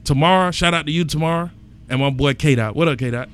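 Lively man hosting a sports podcast. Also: a little high, a little fast, slight background noise. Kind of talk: casual podcast banter.

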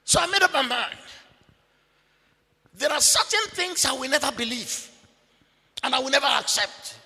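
Male preacher, very high, bright and gravelly voice, emphatic and repetitive with shouted bursts, long hall reverb. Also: expressive, normal speed. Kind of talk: sermon.

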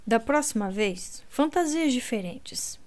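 A woman voicing a young man who grumbles dryly and drawls a fake fortune-teller accent. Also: lively intonation. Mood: dry, mumbling. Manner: low murmur, drawn-out, mock fortune-teller accent. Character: young man, dry and teasing companion.

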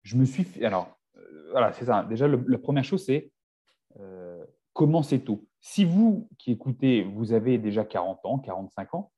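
A man's voice: mid-range voice, big pitch swings, moderate pace, dark tone, slightly rough, dull audio. A man in his forties, a fitness coach, speaking as a guest in a casual podcast chat, often restarting his sentences. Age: 40s. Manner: hesitant, many restarts and fillers.

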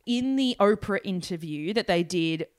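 A woman's voice: on the low side, expressive, moderate pace, smooth. A woman hosting a casual podcast, asking the guest long, hesitant questions with many restarts. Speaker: podcast host.

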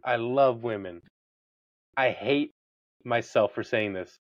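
A man's voice: mid-range voice, slow pace, dry recording. Male co-host, blunt and insistent, dry and slightly muffled recording. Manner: blunt, emphatic, repeats himself. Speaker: podcast co-host. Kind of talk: casual movie-review banter.

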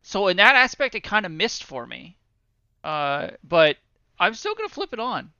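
A man's voice: high-pitched, very animated, moderate pace, sharp tone, clean tone, no echo. A man in his thirties co-hosting a podcast, rambling casually about films with many ums, self-deprecating asides and swearing. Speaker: podcast co-host. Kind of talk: casual movie review chat. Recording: dry, dull lo-fi sound.

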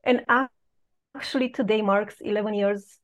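A woman in her late thirties from Romania, a podcast guest telling her personal story in a halting, reflective way with many pauses. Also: mid-range voice, steady tone.

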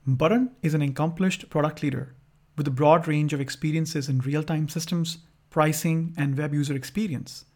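A male podcast host with a fairly high voice introduces his guest and asks polite, formally worded questions. He sounds like he is reading from a script. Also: fairly even intonation, normal speed, darkish tone.

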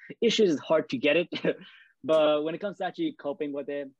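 A man's voice: on the high side, quick pace, no echo, dull audio. A man in his twenties chatting casually about student life, rambling with many fillers. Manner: rambling, heavy use of "like". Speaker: student podcast co-host. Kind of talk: casual chat.

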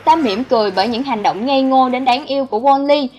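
A woman's voice: high-pitched, fast talker, darkish tone, highs cut off. A woman reading a scripted voice-over narration as the narrator of a countdown video. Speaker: narrator of a film countdown channel.

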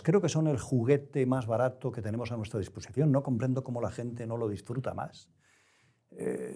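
A middle-aged man who is a writer, talking reflectively in an interview, choosing his words with pauses and restarts. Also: mid-range voice, mellow. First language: Spanish. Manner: measured, searching for words, frequent restarts. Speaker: novelist, interview guest.

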